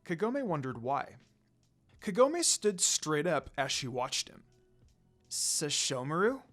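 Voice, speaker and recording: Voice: mid-range voice, very animated, a little slow, a bit bright, faint music behind. A narrator reading a fan fiction story aloud in a dramatic storytelling style.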